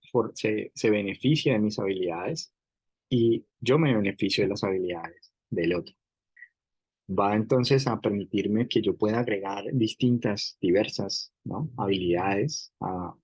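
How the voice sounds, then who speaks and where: A middle-aged man giving an academic lecture, explaining through hypothetical examples and often pausing on "digamos". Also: fairly low pitch, a little mellow, slightly rough, no room sound, rough recording. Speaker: university lecturer.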